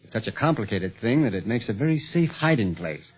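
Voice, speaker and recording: A male actor playing a steady, protective secret police agent in a 1930s radio serial, explaining and giving orders with authority. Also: on the low side, fairly quick. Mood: calm, amused. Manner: commanding, explanatory. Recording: muffled 1930s radio recording.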